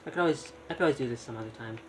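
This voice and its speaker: A man's voice: medium pitch, rapid-fire. A man giving casual live gameplay commentary, muttering to himself and throwing in mild exclamations. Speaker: game streamer. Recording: loud game music behind voice. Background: loud video game music.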